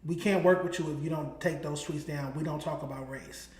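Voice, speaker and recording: Heated, high-voiced man with a roomy echo. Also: a little fast. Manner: emphatic, heated, animated. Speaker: podcast host and hip-hop critic. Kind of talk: opinionated casual talk.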